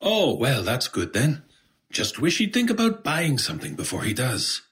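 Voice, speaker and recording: A man's voice: mid-range voice, wildly swooping pitch, normal speed, fairly bright tone. A man playing a mild, nervy bookstore clerk with a hesitant, dryly joking manner in a radio drama. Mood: wry. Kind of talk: radio drama dialogue, conversational.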